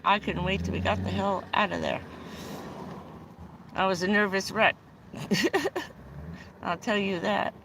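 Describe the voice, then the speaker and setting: A woman's voice: mid-range voice, fairly bright tone, a little hiss or hum. A middle-aged American woman hosting a livestream from inside a vehicle, chatting in a rambling way, laughing often and swearing casually. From United States. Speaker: livestream host.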